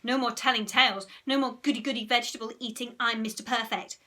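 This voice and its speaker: A woman's voice: on the high side, steady tone, fast talker. A woman voicing a naughty, bossy young boy in a read-aloud storybook, barking out orders. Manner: bossy, loud commanding.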